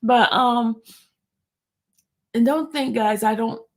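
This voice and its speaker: A New woman hosts a casual livestream in an animated, chatty way, often wandering off topic and answering her viewers directly. Her voice sits somewhat high shows. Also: takes it slowly. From New York. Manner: animated, chatty, digressive, talks straight to chat. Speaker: YouTube livestream host. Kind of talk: casual livestream chat reading viewer comments.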